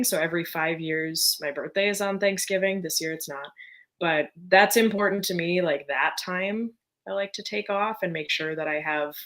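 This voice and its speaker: A woman's voice: fairly low pitch, fairly bright tone. A woman speaking as a guest on a podcast over a video call, upbeat and joking as she chats. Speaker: podcast guest, business owner. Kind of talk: casual panel chat.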